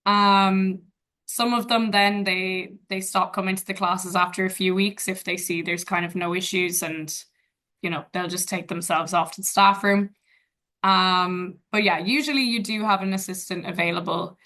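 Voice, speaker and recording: Bright-voiced young Irish woman. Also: mid-range voice, steady tone, normal speed. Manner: casual, thoughtful, friendly. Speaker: guest, English teacher in Vietnam. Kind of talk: webinar answers, casual.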